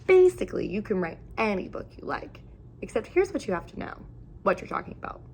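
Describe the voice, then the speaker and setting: Lively woman reading a picture book aloud. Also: mid-range voice, gravelly, slight background noise. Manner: animated, deliberate storytelling with emphatic phrasing. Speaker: read-aloud storyteller. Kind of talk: expressive picture-book read-aloud for children.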